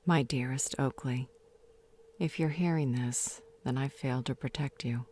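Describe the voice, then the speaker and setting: A woman playing a grieving, tender fae mother in an audio drama, sorrowfully confessing her regrets in halting, half-whispered speech. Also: very deep voice, a little mellow. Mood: sorrowful, apologetic. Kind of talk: dramatic audio roleplay monologue.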